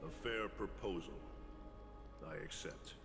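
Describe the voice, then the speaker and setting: A man's voice: deep voice, measured pace, creaky, a bit bright, full character voice. A man voicing a grave, proud warrior lord in a video game, with formal, accusing rhetoric. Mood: proud resigned. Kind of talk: dramatic game voice acting. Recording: hall reverb, game music behind.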